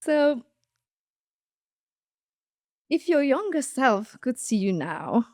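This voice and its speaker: A woman's voice: high-pitched, big pitch swings, very slow, darkish tone, no echo, clean studio sound. A woman hosting a podcast interview, asking questions and reacting with encouragement. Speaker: podcast host. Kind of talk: casual conversational interview.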